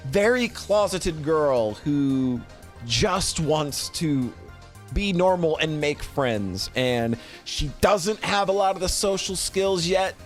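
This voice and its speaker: Middle aged guy streaming, rambly n playful, voice swings up and down a lot, bit of music behind. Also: on the high side. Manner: rambling, playful, often repeats phrases. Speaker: streamer presenting a tier list. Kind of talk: casual livestream chat and commentary.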